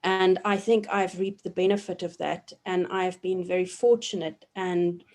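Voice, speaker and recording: A woman in her forties from South Africa, a professor giving measured, reflective answers in an interview, often pausing with ums and restarting her sentences. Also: lowish voice, fairly even intonation, a little mellow. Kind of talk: thoughtful academic interview answers.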